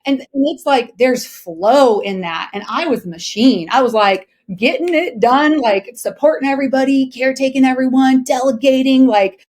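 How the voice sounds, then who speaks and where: A woman's voice: sits high, moderate pace, few pauses, darkish tone. Woman in her forties, emphatic podcast guest. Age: 40s. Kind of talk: candid personal conversation.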